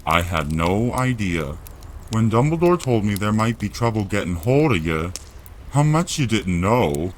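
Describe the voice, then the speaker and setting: A man puts on a big, gruff, kindly giant for an audio drama. The voice is low and swings widely in pitch, blunt and rustic. There is clear ambient noise around it. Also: sing-song, measured pace. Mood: anxious, reluctant.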